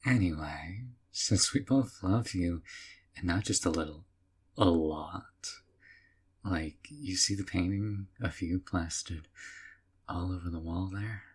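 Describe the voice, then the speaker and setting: A man's voice: low-pitched, takes it slowly, breathy edge, heavily acted. Sammy, a man playing a sweetly creepy male kidnapper. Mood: doting. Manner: soft, coaxing, often whispering. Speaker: voice actor. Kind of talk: audio roleplay.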